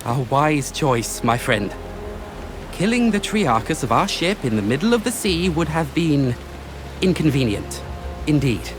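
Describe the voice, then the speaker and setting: High-voiced, articulate Roman nobleman. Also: big pitch swings, over music. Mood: approving, assured. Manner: measured, formal, articulate. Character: reasonable, educated Roman nobleman, calm strategic advisor. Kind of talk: scripted video game acting.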